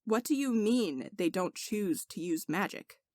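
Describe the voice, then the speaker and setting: A woman's voice: mid-range voice, lively intonation, measured pace, a little mellow, no echo, clean studio sound, natural voice. A woman voicing a skeptical, commanding king in a story, with a blunt, imperious manner. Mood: incredulous.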